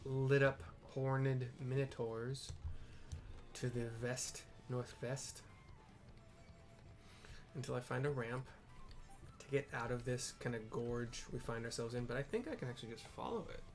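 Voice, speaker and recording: A man's voice: mid-range voice, fairly even intonation, unhurried, faint music behind. A man giving casual game playthrough commentary, thinking aloud in an informal, hesitant way. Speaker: gaming video host.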